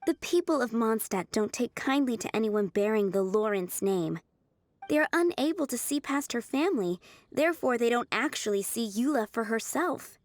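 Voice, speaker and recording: Woman playing a kindly, high-voiced server. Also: lively intonation, dry recording, lightly acted. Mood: earnest, sympathetic. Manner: gentle, measured, polite. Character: kind, earnest young female restaurant server. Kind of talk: video game voice acting.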